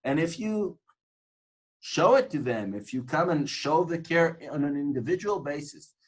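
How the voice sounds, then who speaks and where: A man's voice: fairly high pitch, lively intonation, normal speed, low-quality recording. Man lecturing, earnest and reflective. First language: Hebrew. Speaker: tour guide instructor. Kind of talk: informal lecture on guiding.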